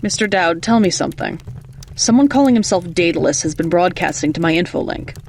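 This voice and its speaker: Terse, deadpan male secret agent. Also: high voice, fairly quick, vocal fry, a little hiss or hum. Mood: matter-of-fact. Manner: deadpan, clipped, businesslike. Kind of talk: video game voice acting.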